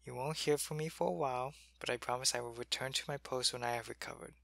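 A man playing a sick, weak male alien soldier in an ASMR roleplay. He speaks softly into a close mic and often drops to a whisper. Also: medium pitch, steady tone, quick pace, creaky, no room sound. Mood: weary, gentle. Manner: soft-spoken, drifting into whispers.

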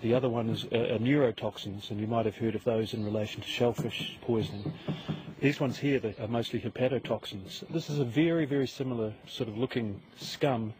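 A man's voice: medium pitch, a little fast, a little mellow, poor audio. Male scientist explaining, with faint background noise. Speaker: university professor and scientist. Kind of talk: explanatory field interview. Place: outdoors on a lake shore.

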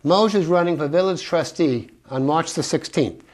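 Male host, slightly high voice, formal and scripted, local cable feel. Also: moderate pace.

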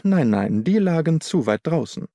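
A man voicing a self-assured, secretive detective in an audiobook, reasoning in a measured way. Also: fairly high pitch, big pitch swings, mellow, dry recording, pitched above the performer's own voice. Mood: guarded, amused. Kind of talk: audiobook character dialogue.

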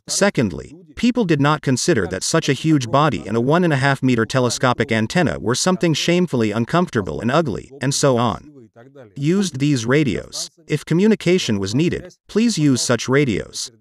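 Middle-aged Russian-accented man, lively intonation. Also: mid-range voice. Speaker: host of a radio review channel. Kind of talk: explanatory gadget review to camera.

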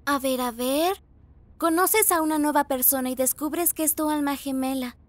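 Woman voicing a very high, girlish anime schoolgirl. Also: normal speed, a little hiss or hum, natural voice. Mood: hesitant, polite. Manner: girlish, polite, excitable. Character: cute, polite, excitable teenage anime girl. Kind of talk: anime fan dub acting. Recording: music playing behind the voice.

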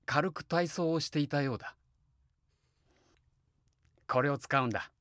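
A man's voice: a little high, measured pace, fairly bright tone, no echo, studio quality. A man playing a kindly, wistful middle-aged family man in a Japanese voice drama, both narrating reflectively and talking in dialogue. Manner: reflective storytelling alternating with hearty, coaxing dialogue.

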